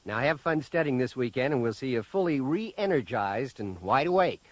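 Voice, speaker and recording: Man acting a lively, jovial science teacher. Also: medium pitch, moderate pace, no echo, lightly acted. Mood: wry, jovial. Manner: jovial, expressive, bantering. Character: friendly, wry male high school science teacher. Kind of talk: science fiction audio drama acting.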